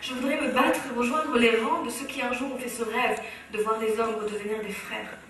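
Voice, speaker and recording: A woman's voice: fairly high pitch, steady tone, moderate pace, a bit bright, a little hiss or hum. A Moroccan woman on stage delivering a rhythmic, impassioned spoken-word slam in a large hall. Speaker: speaker. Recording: very echoey hall, from far off.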